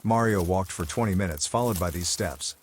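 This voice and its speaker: A man's voice: low-pitched, brisk, a little hiss or hum. A man who speaks English as a second language, narrating a scripted story in a theatrical, suspenseful way. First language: not English.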